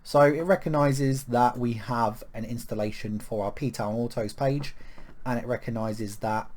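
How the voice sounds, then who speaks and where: A man from the UK talking through a software setup step by step, with lots of ums and small restarts. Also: medium pitch, a little slow, a little hiss or hum. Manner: relaxed, filler-heavy walkthrough with frequent ums. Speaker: web tutorial presenter. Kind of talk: screen-recorded software tutorial.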